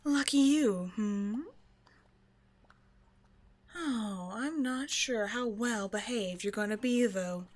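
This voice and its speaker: A woman's voice: fairly high pitch, takes it slowly, pauses often, darkish tone. A woman voicing a haughty vampire scientist in an audio roleplay, with a playful, condescending, mock-sweet delivery. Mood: playful, teasing. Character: female vampire scientist, teasing, haughty captor. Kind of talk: scripted ASMR roleplay monologue addressed to the listener.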